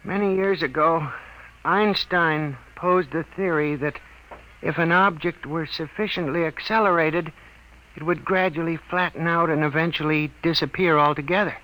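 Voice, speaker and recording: An actor playing an eccentric, aggrieved genius inventor, speaking in formal, precise phrases in an old radio drama style. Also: male voice, on the high side, steady tone, moderate pace, bright, forceful tone, poor audio. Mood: pompous, lecturing. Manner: formal, precise, prim diction. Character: eccentric, aggrieved little genius inventor, polite but megalomaniac. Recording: old radio broadcast recording.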